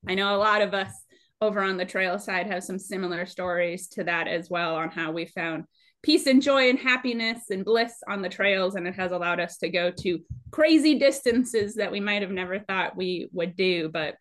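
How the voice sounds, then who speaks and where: A woman in her late thirties talks in a loose, conversational way at an average pitch. She comes across as animated and chatty, like a guest swapping stories. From Minnesota, United States. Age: late 30s. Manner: chatty, animated, quick to laugh. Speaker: podcast guest, amateur trail runner. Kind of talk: casual conversational storytelling.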